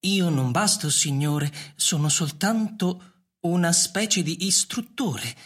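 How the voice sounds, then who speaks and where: Give the robot butler an unhurried, slightly husky delivery. It is ancient, loyal and wistful, formal and deferential in a radio play, with music underneath. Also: mid-range voice, animated, fairly bright tone. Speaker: actor in a radio drama. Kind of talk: Italian radio theatre acting.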